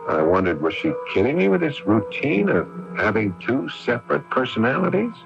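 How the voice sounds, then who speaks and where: An actor playing an earnest, friendly, somewhat hesitant sculptor in a 1980s radio drama, both narrating and talking. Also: male voice, medium pitch, very animated, normal speed, gravelly, music in the background. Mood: wry, curious. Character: earnest, friendly male sculptor puzzled by his neighbor. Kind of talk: 1980s radio drama acting, first-person narration and dialogue. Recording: noisy, muffled 1980s AM radio recording.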